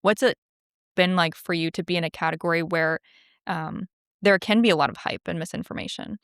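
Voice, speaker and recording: A woman's voice: fairly low pitch, fairly even intonation, fairly quick, a bit bright, dry recording, studio quality. A woman from Canada with a Canadian accent, hosting a podcast in a chatty, upbeat way. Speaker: podcast host. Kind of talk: interviewing and reading a sponsor spot.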